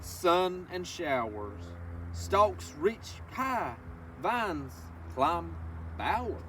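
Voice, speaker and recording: Animated man reading aloud to children. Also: on the high side. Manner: instructive, addresses listeners directly with folksy asides and questions. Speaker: presenter of a children's read-along. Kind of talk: reading a picture book aloud with asides to children. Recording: distant microphone with faint background noise.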